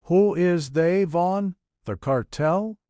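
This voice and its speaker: A man's voice: sits high, slow pace, mellow, smooth, dry recording, pitched above the performer's own voice. A tough ex-cop detective from a noir audiobook, acted by a man. He growls clipped, menacing demands, recorded dry and free of room sound. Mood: pressing, demanding. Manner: growling, clipped threats and interrogation.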